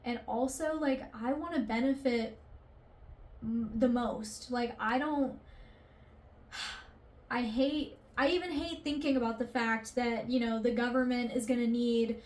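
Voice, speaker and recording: Woman, 20s, confessional vlogger; unhurried, rambling, emotional delivery, slightly high. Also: fairly even intonation. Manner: rambling, emotional, swears casually, many fillers. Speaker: artist vlogger. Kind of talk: candid confessional vlog.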